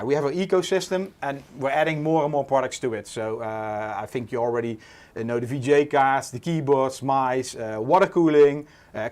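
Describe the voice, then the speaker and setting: A Dutch man in his forties with a Dutch accent, hosting a casual livestream, relaxed and full of "uh" fillers. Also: mid-range voice. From Netherlands. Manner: relaxed, many "uh" fillers, reads chat aloud. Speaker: livestream host.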